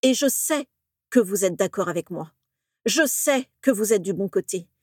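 A woman's voice: medium pitch, animated, normal speed, darkish tone, no echo, natural voice. Determined young male wizard for an audiobook, read by a woman, insistent and earnest, dry studio sound. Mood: pleading, firm. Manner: firm, insistent. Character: determined, urgent young man, former pupil wizard.

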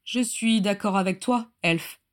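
A woman voicing a condescending male dark lord who speaks softly and slowly with false courtesy, in a fantasy story reading. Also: mid-range voice, natural voice. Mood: silky contempt. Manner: soft, caressing, slow, falsely courteous.

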